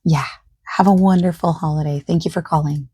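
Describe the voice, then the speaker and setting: A soft-spoken woman doing an ASMR roleplay as a friendly phone salesperson, explaining things at length in a gentle, reassuring, rambling way. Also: sits low, steady tone, fairly quick, a little hiss or hum. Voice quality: soft, husky, creaky.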